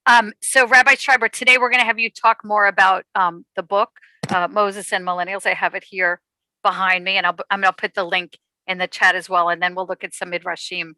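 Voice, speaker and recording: Bright-voiced woman hosting an online talk. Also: mid-range voice, level tone, fairly quick, no echo, rough recording. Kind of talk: informal hosting and introduction. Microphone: video call microphone.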